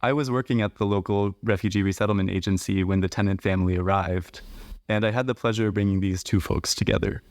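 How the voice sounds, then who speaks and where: A man hosting a podcast interview, speaking in a measured, explanatory way. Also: on the low side, steady tone, darkish tone, dry recording, clean studio sound. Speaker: podcast host.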